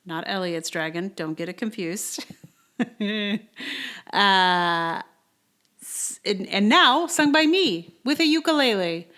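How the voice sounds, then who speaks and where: A woman's voice: mid-range voice, fairly bright tone. A woman hosting a podcast, chatting casually and informally, often hesitant as she thinks aloud. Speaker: podcast host.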